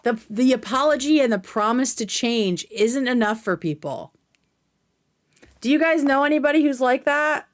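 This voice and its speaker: A woman's voice: high-pitched, animated, moderate pace. Sarcastic woman streamer, emphatic and slangy. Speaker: livestream host. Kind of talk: casual livestream commentary.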